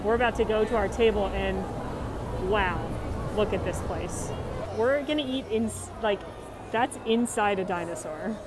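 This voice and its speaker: Young woman, casual restaurant reviewer, medium pitch, very loud music behind her. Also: a little slow. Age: young adult. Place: themed restaurant, indoors.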